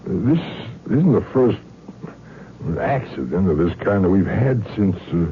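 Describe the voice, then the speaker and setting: Folksy, low-voiced small-town lawman. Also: lively intonation, measured pace. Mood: apologetic, cautioning. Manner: hesitant, folksy, drawling phrases. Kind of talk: old-time radio drama acting. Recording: muffled vintage radio with faint noise.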